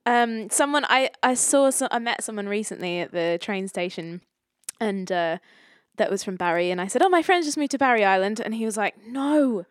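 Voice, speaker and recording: A woman's voice: mid-range voice, normal speed, no echo, studio quality. A woman hosting a casual phone chat, friendly and enthusiastic, giggling now and then. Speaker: podcast host.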